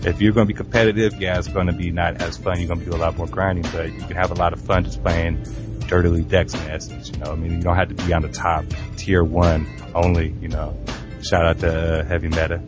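A man guests on a casual podcast, chatting in an easygoing, rambling way. His voice is very deep and stays flat in pitch. Music is clearly audible behind him. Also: fast.

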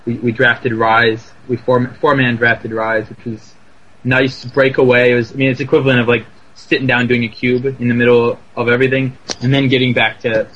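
A man chatting on a podcast in a halting way, with lots of restarts and filler words. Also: medium pitch, level tone, fairly bright tone, slightly rough. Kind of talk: casual podcast chat. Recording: dull lo-fi line with faint noise.

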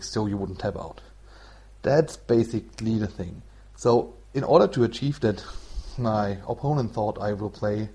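Low-voiced German man, muffled podcast talk. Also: mellow, breathy edge. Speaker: podcast host. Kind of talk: explanatory solo podcast talk about card game strategy.

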